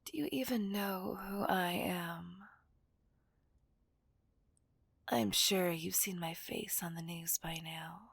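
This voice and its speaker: A menacing female kidnapper , acted by a woman, whispers low and slow in an ASMR villain roleplay. She is possessive and taunting, giving orders in a breathy, crackling hush. The sound is dry and very close to the mic. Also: steady tone, no room sound, full character voice. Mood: smug, boastful. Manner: slow, commanding, mocking. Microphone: close microphone.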